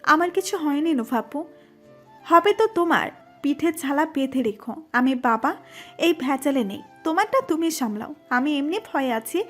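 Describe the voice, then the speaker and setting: A very high, girlish voice acted by a woman plays a college-age younger cousin in an audio story. She teases and chides with a whiny edge, over faint music. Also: animated, moderate pace. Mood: dismissive, wary. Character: sleepy, chiding teenage younger girl cousin, a college student.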